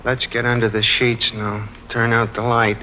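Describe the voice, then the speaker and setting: An actor playing a nervous, simple-minded, comic male sidekick in plaintive, excitable 1950s radio drama style. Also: medium pitch, normal speed, lightly acted. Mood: sheepish, drowsy. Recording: dull, noisy old radio recording.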